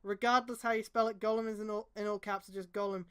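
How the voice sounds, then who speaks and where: A woman's voice: medium pitch, flat delivery, fast. A woman hosting a stream, reading fan fiction aloud with exasperated, mocking, sweary casual commentary.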